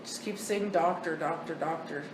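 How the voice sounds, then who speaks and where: A woman ghost hunter calling out single halting words she hears, then explaining them casually. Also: low voice. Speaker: paranormal investigator relaying words she hears. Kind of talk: casual ghost-hunt chatter. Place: old hotel wine cellar, basement. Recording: echoey cellar, distant mic, loud background noise.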